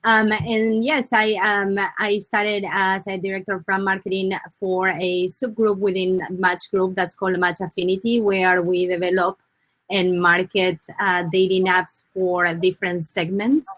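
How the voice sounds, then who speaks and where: A woman's voice: medium pitch, measured pace, no echo, low-quality recording. Argentine-accented woman on a dry call. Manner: relaxed, filler-heavy. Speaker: panelist, marketing director. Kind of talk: panel introduction. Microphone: video call.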